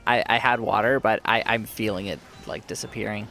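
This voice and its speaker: A man's voice: fairly low pitch, fairly even intonation, a little fast, sharp tone, faint music behind. A man streaming a game live, chatting casually with viewers while his sore throat leaves his voice fading. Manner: chatty, reads and answers viewers.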